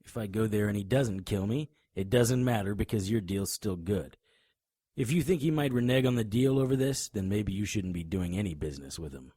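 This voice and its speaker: Tough private eye man, lowish husky voice, sardonic, hardboiled audio drama, very dry sound. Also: expressive, fairly quick, mellow, no room sound. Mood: firm, resolute. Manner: confrontational, sardonic. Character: tough, righteous male private detective, protective and defiant.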